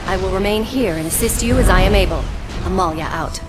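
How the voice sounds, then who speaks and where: An actress playing a formal, composed, polite soldier in a video game. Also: female voice, mid-range voice, fairly quick, over loud music, natural voice. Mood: grateful, composed. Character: grateful, formal, composed freed female soldier. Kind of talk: video game cutscene acting.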